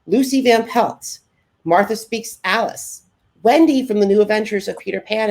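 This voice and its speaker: Podcast interviewer, female, gravelly fry in the voice, halting delivery with repeated words. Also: a little high, a little mellow. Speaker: podcast host. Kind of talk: podcast interview, reading introduction and questions.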